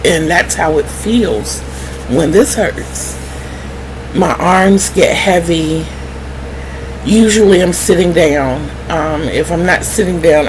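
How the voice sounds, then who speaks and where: A middle-aged woman vlogger shares personal stories at a very slow pace. Her voice sits a little low, and she is candid and wry, acting out remembered exchanges. There is clear noise behind her throughout the recording. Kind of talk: candid personal storytelling to viewers. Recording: clearly noisy background hum.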